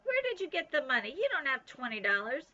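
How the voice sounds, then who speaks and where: A bossy, scolding older sister, as a woman reader puts her on snaps in a high, bright voice. She sounds unimpressed and quick to threaten. The recording is a little dull and lo-fi. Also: sing-song, heavily acted.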